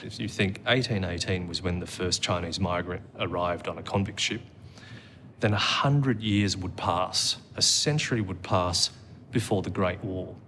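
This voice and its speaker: Deep-voiced man, reflective. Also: a bit bright, slight background noise. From Australia. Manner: reflective storyteller, measured with frequent "um"s. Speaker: interview guest. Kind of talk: conversational interview. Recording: music playing underneath.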